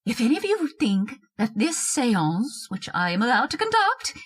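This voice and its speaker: Self-important older hostess, high voice, swooping delivery, theatrical, bossy, affected, old-time radio drama. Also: female voice, very animated, normal speed, husky. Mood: grandly solemn, commanding. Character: pompous, gushing, bossy older society hostess. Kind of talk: old-time radio horror-comedy acting.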